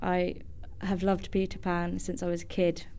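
A woman's voice: fairly low pitch, completely flat delivery, moderate pace, warm tone, clean tone. A female student chatting casually as a guest in an interview.

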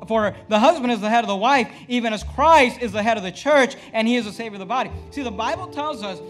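A high-pitched man in his thirties preaches from the pulpit into a podium mic. His delivery is emphatic and full of rhetorical questions, rising loud and then dropping to a hush, with faint music running underneath. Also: quick pace. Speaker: pastor. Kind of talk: fiery sermon. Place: church sanctuary at a pulpit.

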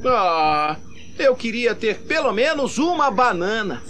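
A man's voice: extremely high pitch, very animated, takes it slowly, heavily acted. A man voicing a big, dim, good-natured gorilla in a Brazilian cartoon dub, whiny, flustered and goofy. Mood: grumbling, reluctant.

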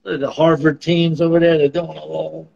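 A man's voice: on the high side, little pitch movement, fairly quick, creaky, darkish tone. A man in his thirties hosting a casual group video chat, with slangy, laid-back bro talk. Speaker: live broadcast host. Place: small home room. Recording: dull lo-fi video call audio.

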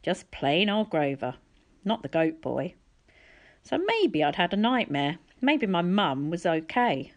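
Casting: female audiobook reader, slightly low voice, narrating a storybook. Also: big pitch swings, slow pace. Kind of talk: storybook narration, reading aloud.

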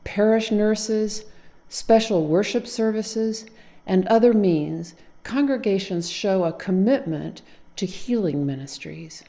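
A middle-aged woman narrating to camera in a measured way, recorded on a lapel mic indoors. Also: mid-range voice, dark tone. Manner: slow, measured delivery. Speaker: narrator, council director. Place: indoor room with wall decor.

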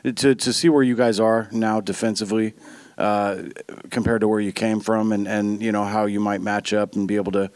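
Flat, low male reporter, rambling. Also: normal speed, no room sound. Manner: rambling question with restarts.

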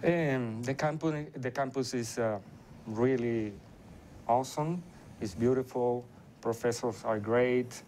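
A man, speaking haltingly with many pauses as a panel guest. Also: mid-range voice, darkish tone, slight background noise. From Venezuela. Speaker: international law student.

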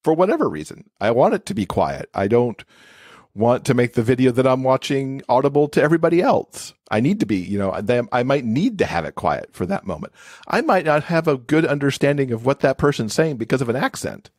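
A man's voice: medium pitch, sing-song, brisk, no echo, studio quality. A man speaking as an expert podcast guest, explaining things in a casual, conversational way.

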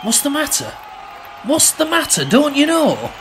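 A man's voice: extremely high pitch, wildly swooping pitch, a little slow, crowd noise, noisy background, exaggerated voice. A man playing an exasperated Northern English husband and football fan in a radio play.

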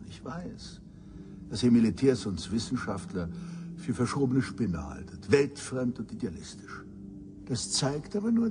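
An actor playing a cynical, bitter old professor in a science fiction radio drama, pompous and sardonic in elaborate phrasing. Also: male voice, mid-range voice, noisy background, natural voice. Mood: bitterly sardonic.

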